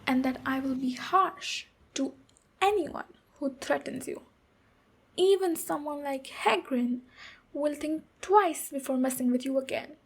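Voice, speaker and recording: A woman voicing a tough but protective ogre warrior in a soft-spoken, reassuring ASMR roleplay, with pauses. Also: high voice. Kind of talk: ASMR roleplay, speaking to the listener.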